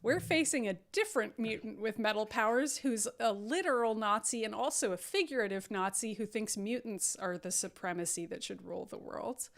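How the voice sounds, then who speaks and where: A woman's voice: medium pitch, normal speed, hardly any pauses. A woman hosting a casual comedic livestream, introducing and recapping in a sardonic way, often laughing as she talks. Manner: sardonic, laughs while talking. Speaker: host introducing cast and recapping.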